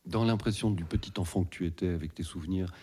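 Male interviewer, probing, rephrases questions. Very low, husky voice. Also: fast, warm tone.

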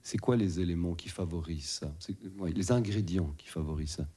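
A man's voice: low voice, fairly quick, dark tone, breathy edge. A man hosting an interview, putting open questions to his guest in a conversational way. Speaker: interviewer, host.

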